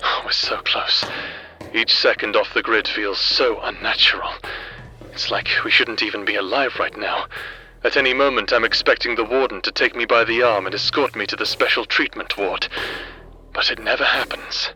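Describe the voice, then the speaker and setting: Man as sharp, forceful escaped prisoner narrating. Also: on the low side, normal speed, rough recording. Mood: uneasy, dread. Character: weary, calculating male prison escapee narrating his thoughts. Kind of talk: dramatic inner-monologue narration in audio drama. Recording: music under the voice.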